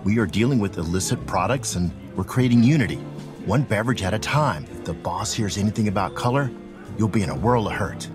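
A man's voice: lowish voice, animated, a little fast, over music, lightly acted. A man playing a confident, courteous 1920s bootlegger in an audio drama. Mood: conspiratorial. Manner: courteous, assured. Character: smooth-talking, confident 1920s male bootlegger.

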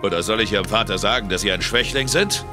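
An actor playing a menacing older ex-boxer thug with a gruff, sneering manner in an audio drama. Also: male voice, lowish voice, quick pace, over music, natural voice. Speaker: villain. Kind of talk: studio audio drama acting.

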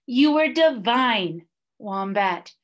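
A woman voicing a bossy, fussy female emu in a storybook, brisk and theatrical. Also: a little high, expressive, pitched above the performer's own voice. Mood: reassuring, emphatic.

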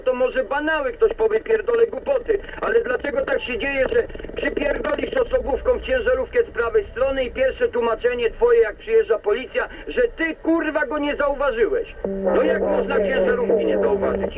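A man's voice: very high-pitched, far from the mic. A man in a profane, lecturing rant among drivers over CB radio. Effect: CB radio transmission. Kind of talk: ranting casual CB radio chat. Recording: narrow, noisy CB radio in a vehicle.

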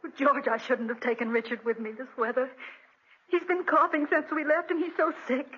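A woman's voice: sits high, steady tone, brisk, a little hiss or hum, close to an everyday voice. An actress playing an anxious, devoted young wife and mother, tender and pleading, in a 1940s radio drama. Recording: dull restored 1940s radio recording.

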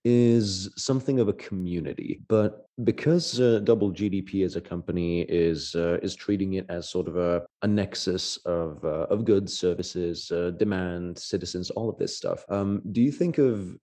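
A man hosting a podcast, asking questions in a halting way with many fillers. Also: deep voice, dark tone. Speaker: podcast host. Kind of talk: conversational interview questions.

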